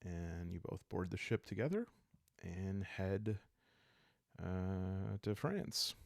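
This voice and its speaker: A man calmly narrating an improvised solo roleplay story in a soft-spoken, halting way, as if for sleep. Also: sits low, vocal fry, no echo, studio quality.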